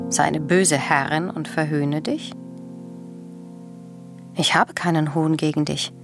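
A proud, defiant queen of Greek tragedy speaks in a lowish voice. Her delivery is imperious, formal and measured, as in a classical radio play, with faint music behind her. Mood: scornful accusation. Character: proud, defiant murderous queen and mother, middle-aged.

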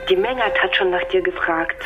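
An actress in a German radio drama playing a brisk, teasing newspaper colleague on a phone call. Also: female voice, lowish voice, lightly acted. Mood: teasing, impatient. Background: music.

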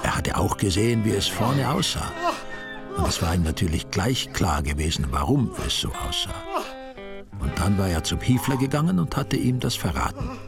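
A man's voice: fairly low pitch, big pitch swings, moderate pace, creaky, over loud music. Dry-witted male narrator. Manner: dry ironic humor. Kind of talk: wry storytelling reading.

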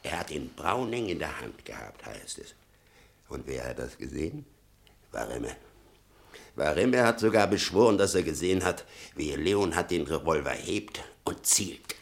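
An extremely low, creaky-voiced old man, bitter and obsessed with his son. He broods and mutters in breathy half-whispers, then swells into emphatic outbursts, in the style of a 1960 radio drama. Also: big pitch swings, normal speed, exaggerated voice. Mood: agitated, indignant. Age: older. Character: embittered old father of a convicted murderer, doting, obsessive. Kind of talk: 1960 German radio play acting.

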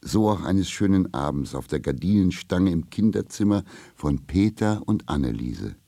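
A man narrating a children's radio play as a storyteller, with measured, deliberate phrasing. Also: low-pitched, animated, dark tone, dry recording. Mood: calm, storytelling. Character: male storyteller of a children's tale, unhurried.

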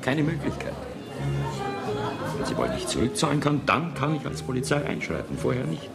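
An actor playing a stolid, officious police president in a radio play, lecturing and unhelpful. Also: male voice, mid-range voice, wildly swooping pitch, fairly quick, gravelly, over a noisy crowd. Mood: officious, unmoved. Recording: 1970s radio play, loud music behind.

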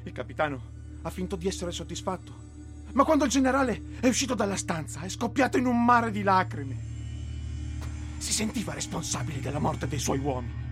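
High, swooping, frightened soldier. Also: male voice. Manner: emotional, dramatic delivery. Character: frightened, exhausted, bitter Italian infantry soldier in the trenches. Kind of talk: theatrical radio drama acting.

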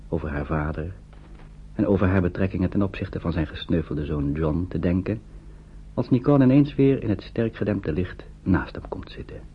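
A male narrator tells a radio play from a script in a low voice. The vintage recording is dull, with a faint hum behind him.

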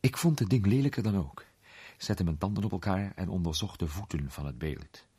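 A man playing an urbane gentleman detective who recounts his case with measured, suspenseful pauses in a radio play. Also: low voice, animated, slightly rough, exaggerated voice. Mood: measured, reflective. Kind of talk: dramatised storytelling in a radio play.

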